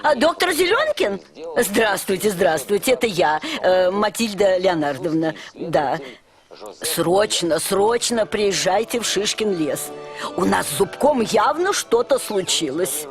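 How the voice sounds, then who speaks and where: A woman's voice: medium pitch, big pitch swings, unhurried, music in the background. A woman playing a kindly, anxious auntie in a children's puppet show, fussy and exclamatory, repeating her words. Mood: anxious, urgent.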